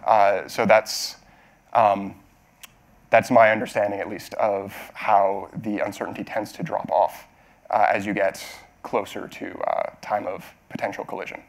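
Man in his thirties, lowish voice, technical talk Q&A, explanatory, halting, self-correcting. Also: flat delivery. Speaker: technical speaker at a tech talk. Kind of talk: conference talk question-and-answer, explanatory. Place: auditorium stage at a podium.

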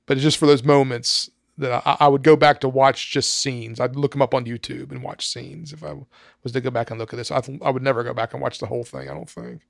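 A man with a mid-pitched, gravelly voice shares hesitant film opinions on a podcast, filling pauses with ums. The sound is dry and close. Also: fairly even intonation, dry recording. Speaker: podcast panelist. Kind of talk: casual film review chat.

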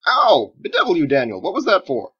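A man's voice: fairly high pitch, wildly swooping pitch, fairly quick, sharp tone, breathy edge, highs cut off, voice pushed up, full character voice. A man voicing a playful, theatrical cook who teases slyly and pretends at wizardry in a storybook reading. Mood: indignant. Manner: teasing, sly, hammy.

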